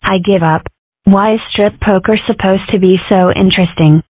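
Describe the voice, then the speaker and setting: A woman's voice: mid-range voice, steady tone, moderate pace, no echo, close to an everyday voice. A woman voicing an opinionated, mischievous, sarcastic schoolgirl in a comic strip dub, wry and argumentative. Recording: muffled, phone-like narrow sound.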